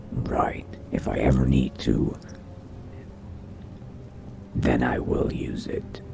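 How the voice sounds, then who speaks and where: A man voicing a brooding, studious vampire mage in a video game roleplay, musing quietly to himself in a whispery, gravelly voice. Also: extremely low pitch, heavily acted. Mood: musing, detached. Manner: slow, measured, musing to himself. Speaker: roleplay gamer. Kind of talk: in-character game roleplay narration.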